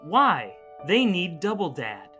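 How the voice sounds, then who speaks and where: A man's voice: sits high, huge pitch swings, slow, sharp tone, soft background music, full character voice. A man voicing a blunt, earnest widowed mother in a stilted, declamatory parody screenplay reading.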